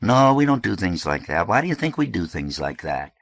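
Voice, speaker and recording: A man's voice: deep voice, big pitch swings, fairly quick, creaky, no echo, full character voice. A man voicing a young male gang member who talks defensively and gets agitated.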